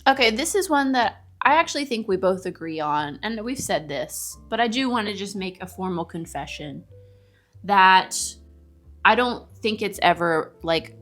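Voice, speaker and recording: A woman's voice: mid-range voice, lively intonation, moderate pace, fairly bright tone, faint music behind. A woman co-hosting a casual, bantering chat, emphatic and opinionated with a teasing edge. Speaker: co-host of a book discussion video.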